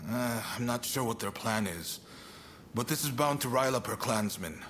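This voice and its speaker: An actor playing a resolute, burdened samurai commander in a video game cutscene, speaking in a measured, plain-spoken way with weary resolve. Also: male voice, medium pitch, animated, fairly bright tone, a little hiss or hum, lightly acted. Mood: thoughtful. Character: frank, resolute samurai military commander, burdened but calm. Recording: music behind the voice.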